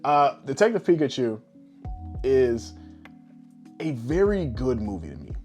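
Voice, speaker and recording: A man co-hosting a podcast, chatting casually and bluntly, with animated asides as he tells things. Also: medium pitch, measured pace, dull audio, faint music behind. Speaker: podcast co-host. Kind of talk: casual podcast chat about films.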